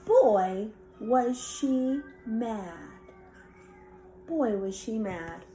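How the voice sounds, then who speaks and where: Slow, high-voiced woman reading a story. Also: lively intonation, mellow, a little hiss or hum. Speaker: storybook reader. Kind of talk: expressive children's bedtime story narration.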